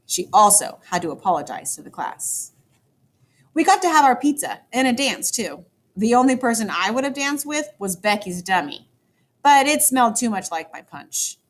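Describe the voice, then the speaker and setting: A woman teacher reading a children's story aloud, with expressive, dramatic emphasis for young listeners. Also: on the high side, moderate pace. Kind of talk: animated children's book read-aloud.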